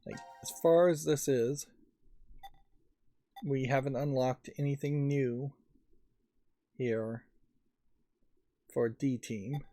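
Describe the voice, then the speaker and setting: Mellow man musing over gameplay. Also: mid-range voice, steady tone, no echo. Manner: halting, musing, thinking aloud. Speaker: let's play host. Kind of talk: unscripted gameplay commentary. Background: faint game music.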